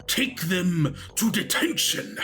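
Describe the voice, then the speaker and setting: A man playing a stern, menacing school principal in a tabletop game, slow, theatrical and commanding. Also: high voice, lively intonation, fairly bright tone, faint music behind, full character voice.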